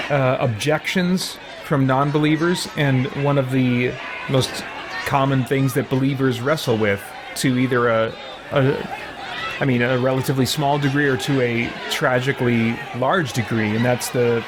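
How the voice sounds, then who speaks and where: Male let's-play host, mid-pitched, thoughtful and halting, crowd and noise behind. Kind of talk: philosophical commentary over gameplay.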